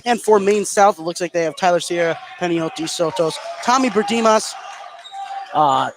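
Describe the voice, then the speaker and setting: A man hosting and calling a live high school basketball game as play-by-play commentator. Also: moderate pace, noisy background.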